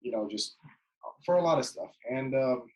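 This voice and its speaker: A man's voice: mid-range voice, moderate pace. Guest, man in his twenties, easygoing and rambling, recorded on a webcam at home. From Jordan. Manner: relaxed, rambling with many fillers and restarts. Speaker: guest, basketball player. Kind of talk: casual interview chat. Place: bedroom at home, indoors. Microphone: webcam video call.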